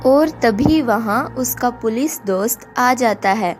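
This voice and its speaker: A woman tells a scripted story for children in a slightly high voice. She addresses listeners directly as she narrates, with music underneath. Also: slow pace. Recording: music behind the voice.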